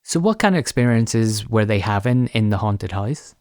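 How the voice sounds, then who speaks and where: A man's voice: lowish voice, animated, normal speed, darkish tone, no room sound, studio quality. A man hosting a podcast interview, asking casual questions in a curious, attentive manner.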